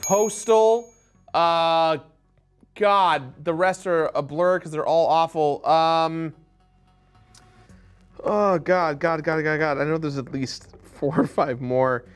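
A man in his thirties speaking casually as a contestant on a studio game show, thinking out loud. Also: a little high, fairly even intonation, slow pace, clean tone, faint music behind. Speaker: game show contestant, online video host. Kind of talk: casual, unscripted quiz answers. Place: indoor studio set.

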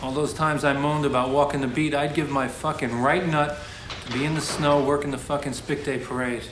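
A man's voice: mid-range voice, little pitch movement, a little fast, far from the mic, close to an everyday voice. An actor playing a nervous male cop who gripes and swears. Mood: edgy. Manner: griping, profane, edgy. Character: jumpy male cop contact, griping and nervous. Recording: echoey and noisy.

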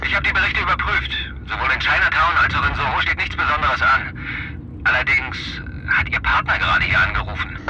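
An actor playing a helpful, businesslike police dispatcher sergeant in a radio drama, heard over a police radio. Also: male voice, mid-range voice, expressive, a little fast, dull audio. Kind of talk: German radio drama acting. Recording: noisy police radio transmission.

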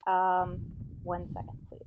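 A woman moderating a webinar, reading audience questions in a brisk, businesslike way. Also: on the low side, completely flat delivery. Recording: slightly dull line with faint noise.

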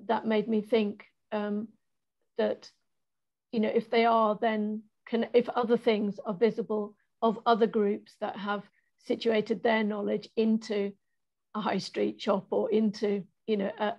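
A woman moderating an online panel discussion, speaking thoughtfully with many pauses and restarts. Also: mid-range voice, gravelly. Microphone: video call laptop mic. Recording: dull lo-fi video call audio.

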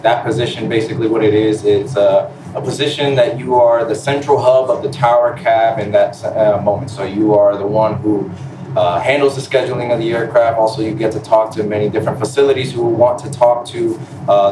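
A man in his twenties from Puerto Rico and Florida, explaining his job as an airman on a panel, politely and off the cuff. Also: lowish voice. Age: 20s. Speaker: panel guest, air traffic controller in the Air Force. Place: large indoor room, seated on a stage. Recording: roomy echo, distant mic, noisy room.

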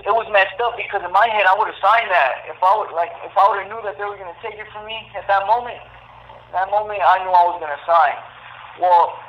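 Young man, high voice, casual slang storytelling, repeats himself, muffled phone-line sound. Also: slight background noise. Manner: street slang, repeats phrases, says "you know" often. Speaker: incarcerated storyteller. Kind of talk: casual first-person storytelling. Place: jail, calling in by phone.

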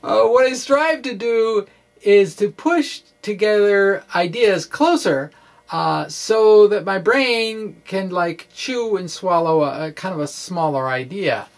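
Slow-paced middle-aged man, halting and wry. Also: high voice, expressive. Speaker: physics blogger. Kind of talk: informal dramatic reading of a physics explainer. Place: small indoor room with bookshelves. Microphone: microphone on a stand in front of him.

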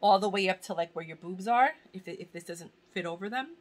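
A woman's voice: medium pitch, fairly quick. A woman in her forties chatting to camera from a cluttered home room, rambling and gushing about giveaway items while in pain. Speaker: fashion vlogger hosting a giveaway. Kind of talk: casual chat to camera. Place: small home room crowded with bags and boxes, indoors. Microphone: camera at desk distance.

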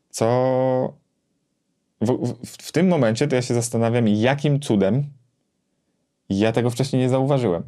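A man in his thirties explaining a gear comparison conversationally, with hesitant pauses as he thinks. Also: medium pitch, dark tone. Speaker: gear reviewer and co-host. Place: small home music studio, indoors.

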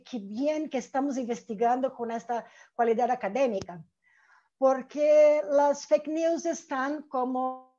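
A middle-aged woman with a Brazilian accent, a native Portuguese speaker, presenting academically and haltingly on a dull-sounding video call. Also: high voice, warm tone. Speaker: academic panelist, researcher. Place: room with bookshelves indoors. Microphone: earphone mic on video call.

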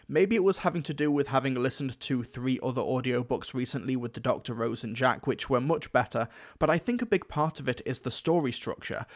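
Young adult man, mid-pitched voice, scripted review commentary, conversational and emphatic, close microphone. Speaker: video reviewer. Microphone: close desk microphone.

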